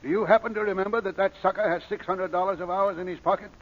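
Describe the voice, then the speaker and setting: A man's voice: high-pitched, steady tone, quick pace, muffled recording. An actor playing a sly, courtly old cardsharp father, avuncular and wily, in 1940s radio style. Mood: grumbling, scheming. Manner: avuncular, mock-reluctant, wily.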